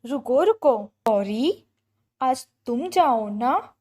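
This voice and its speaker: A woman's voice: high voice, expressive, drawn-out words, a little mellow, exaggerated voice. A woman voicing a lazy, grumbling male crow husband in a children's cartoon story, weary and complaining.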